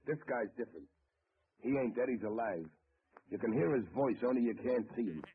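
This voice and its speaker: A man's voice: lively intonation, a little fast, husky. An actor playing a threatening male gangster sentenced to death, in an old radio drama. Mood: coaxing. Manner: threatening, low and intense. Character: menacing male gangster, condemned killer. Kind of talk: 1930s radio drama acting. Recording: muffled old radio recording with hiss.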